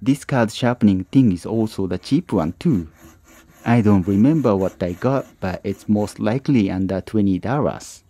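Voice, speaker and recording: A man narrating his own woodworking tutorial as a calm voice-over, with plain, slightly non-native phrasing. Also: lowish voice, moderate pace. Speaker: woodworking channel host.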